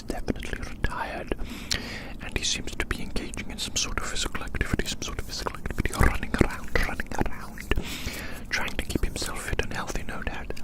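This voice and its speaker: An ASMR performer whispering a rambling, theatrical roleplay monologue outdoors, softly repeating phrases in wordy language. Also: rough recording. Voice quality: soft unvoiced whisper. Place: outdoors in a large open field.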